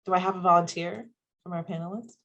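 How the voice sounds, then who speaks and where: A woman's voice: lowish voice, fairly even intonation, quick pace, fairly bright tone, dull audio. A woman presenting and moderating an online panel on a video call, upbeat and listing items with frequent ums. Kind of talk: webinar presentation.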